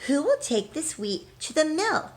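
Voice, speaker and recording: Woman playing an earnest, animated little hen. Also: high-pitched, measured pace, voice pushed up. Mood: asking for help. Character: hardworking, determined little red hen.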